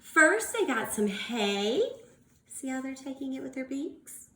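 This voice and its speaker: A woman's voice: sits high, sing-song, unhurried, sharp tone, echoey room, a little hiss or hum. A woman teacher reading aloud to young children, encouraging them with questions and exaggerated enunciation. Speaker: early-years teacher.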